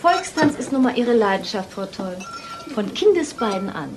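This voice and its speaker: A breezy, sociable woman with a fairly high voice. She chats playfully in a radio crime drama with clear background noise. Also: very animated, normal speed. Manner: playful, chatty. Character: breezy, sociable translator friend. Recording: clearly noisy recording.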